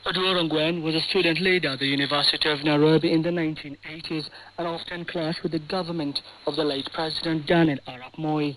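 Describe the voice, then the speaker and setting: Higher-pitched male correspondent on hissy shortwave. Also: steady tone, fast, few pauses, a bit bright, poor audio. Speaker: radio news correspondent. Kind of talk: scripted news report. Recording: hissy shortwave radio broadcast.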